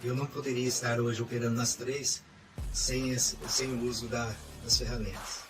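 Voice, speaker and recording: A man in his fifties gives an earnest, halting testimonial. He sounds far from the mic. A clear music bed plays underneath him. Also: mid-range voice, level tone. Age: 50s. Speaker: student giving testimonial.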